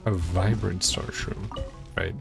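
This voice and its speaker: A man giving casual gameplay commentary as a streamer, hesitant and thinking out loud. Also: sits low, fairly even intonation, slow pace, gravelly, over music. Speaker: game streamer.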